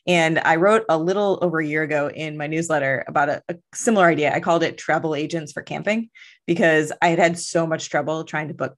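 American woman in her 30s, podcast host, somewhat low voice, doing an interview. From US Midwest, grew up in Chicago.

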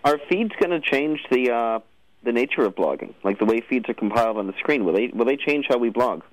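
A man hosting a radio show, asking questions in a casual, halting way and often rephrasing as he goes. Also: mid-range voice, expressive, dry recording, poor audio. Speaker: radio show host interviewing a guest. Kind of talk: casual interview.

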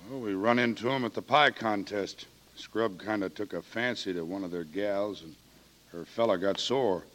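Man acting defensive small-town American witness, lowish sharp-edged voice, guarded, colloquial, old radio courtroom drama. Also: moderate pace, close to an everyday voice.

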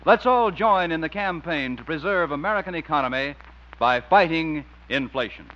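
A man announcing and narrating in a polished, emphatic 1950s radio style. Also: a little high. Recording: muffled vintage radio broadcast, faint music.

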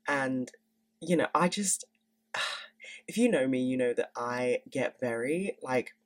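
A young woman in her twenties hosting a podcast in casual, candid chat, swearing freely and sounding stuffy from a cold. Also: extremely low pitch. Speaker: podcast host.

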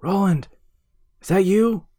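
An irreverent young man, acted out by a male narrator in a horror audiobook. His voice is very breathy and husky, his talk blunt and colloquial, with an excitable edge. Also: low voice, normal speed. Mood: frantic, breathless.

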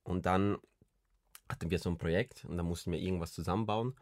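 Tiefe Stimme, junger Mann aus Kolumbien, trockener Klang, lockeres Plaudern mit vielen Füllwörtern. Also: male voice, deep voice, fast, dry recording, clean studio sound. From Colombia. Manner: rambling, many restarts and fillers. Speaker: podcast co-host. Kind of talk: casual chat.

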